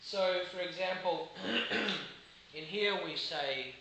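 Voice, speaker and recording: A man's voice: high voice, bright, forceful tone. A man with an Australian accent, an English teacher giving a hesitant, filler-laden video lesson. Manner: slow, hesitant, many fillers, demonstrates phrases. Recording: very echoey room with background noise.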